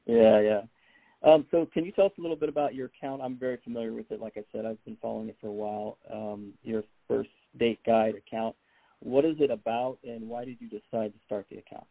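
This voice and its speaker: A man hosting a podcast, asking his guest quick, friendly questions in casual talk. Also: medium pitch, gravelly, no echo. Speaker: podcast host. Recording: dry, tinny phone-like sound.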